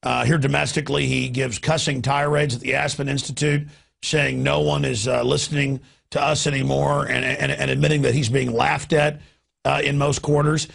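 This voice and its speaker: A man hosting an opinionated political radio talk show, with a gravelly, raspy voice and an emphatic, ranting manner. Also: mid-range voice, little pitch movement, normal speed, a bit bright. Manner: emphatic, ranting, piles up examples.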